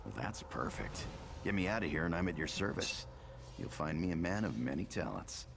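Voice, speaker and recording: An actor playing a cocky, charming jailed thief in a video game, with a gravelly voice. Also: male voice, deep voice, very animated, normal speed, noisy background, heavily acted. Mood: cocky pleading. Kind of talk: video game character acting. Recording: game music behind the voice.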